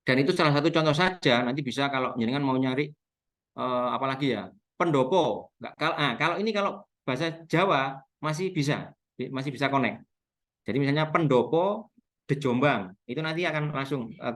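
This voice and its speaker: Indonesian man with Javanese accent, explaining step by step. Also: medium pitch. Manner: explains step by step, spelling out terms. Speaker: webinar speaker on local history. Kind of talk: informal explanatory webinar talk.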